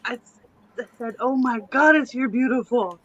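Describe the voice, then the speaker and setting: A woman chatting casually on a livestream panel in a halting way. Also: on the high side, husky, no echo. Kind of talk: casual chat. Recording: dull, lo-fi microphone sound.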